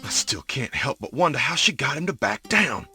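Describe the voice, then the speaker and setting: An actor playing a weary, remorseful middle-aged judge in an audio drama, husky and subdued. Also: male voice, medium pitch, sing-song, moderate pace, pushed and bright, heavily acted. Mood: weary, resigned. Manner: subdued, regretful. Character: remorseful, weary judge, older husband and father.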